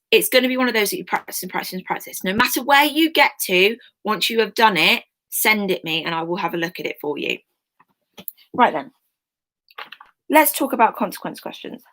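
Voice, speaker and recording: Bright-voiced woman teacher, a bit croaky. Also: mid-range voice. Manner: chatty, informal, many ums and asides. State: slightly croaky voice. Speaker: history teacher. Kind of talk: online class lesson.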